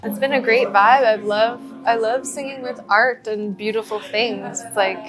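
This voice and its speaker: A woman's voice: fairly high pitch, fairly even intonation, normal speed. A woman chatting casually and informally as an interview guest, with a bubbly manner. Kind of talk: casual chat. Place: art gallery reception. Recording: music behind the voice.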